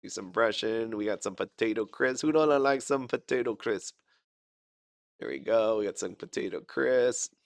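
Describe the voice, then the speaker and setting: A man's voice: fairly low pitch, normal speed, creaky, no echo, clean studio sound. A sick man rambling through casual gameplay commentary, chatty and self-deprecating, with lots of fillers and restarts. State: sick, fighting a cough. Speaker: gaming channel host.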